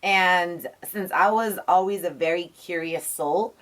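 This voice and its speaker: A young woman with a raspy voice, a radio personality chatting as an interview guest, emphatic and motivational. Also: lowish voice. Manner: emphatic, motivational, quick to laugh. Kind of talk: casual chat.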